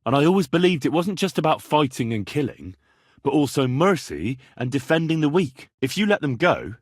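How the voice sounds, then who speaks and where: A man playing a young, earnest, plucky medieval blacksmith turned adventurer in a video game, with a plain-spoken, expressive delivery. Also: medium pitch, breathy edge, dry recording, studio quality, heavily acted. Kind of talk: video game voice acting, dramatic dialogue lines.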